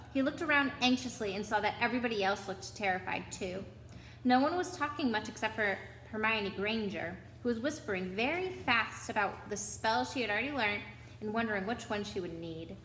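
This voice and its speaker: A woman's voice: a little high, sharp tone. A woman reading a children's story aloud, with chatty asides to her young listeners. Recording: echoey room with background noise.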